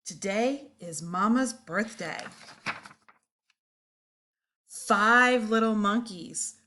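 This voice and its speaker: A woman storyteller reading a picture book aloud to young children, expressive and deliberate. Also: medium pitch, a little hiss or hum.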